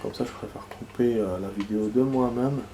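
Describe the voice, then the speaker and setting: A man's voice: medium pitch, brisk, dark tone, slight background noise. Nasal-sounding man with a cold chatting while gaming. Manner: rambling, many fillers and restarts. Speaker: gaming video host. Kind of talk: casual gameplay commentary.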